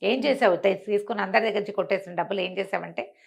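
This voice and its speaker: A woman's voice: medium pitch, fast talker, vocal fry, husky. A woman storyteller plays a village man's ghost in a folk tale. He is grave and stern, challenging wrongdoers and demanding answers to protect his name. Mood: stern, accusing.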